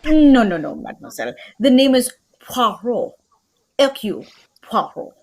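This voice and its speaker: A proud little Belgian detective, played by a woman in old-time radio style, with a French accent. The voice is light and high for the man, husky, and swings widely in pitch. The manner is fussy, courteous and pompously precise. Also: very animated, moderate pace, darkish tone. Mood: indignant. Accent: put-on French.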